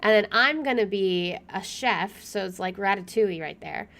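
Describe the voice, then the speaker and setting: A young woman streaming a game, chatting casually and reading story text aloud in an expressive way. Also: medium pitch, moderate pace.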